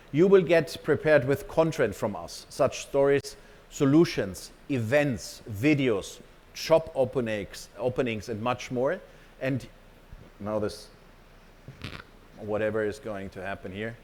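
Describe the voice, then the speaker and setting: A man's voice: mid-range voice, darkish tone. A man giving a company presentation to staff as an executive, partly off the cuff, emphatic and often checking in with his listeners.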